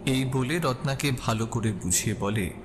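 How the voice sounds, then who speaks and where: A man's voice: medium pitch, animated, normal speed. A man narrating a scripted suspense audio story as the storyteller. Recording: long reverb, clear background ambience noise.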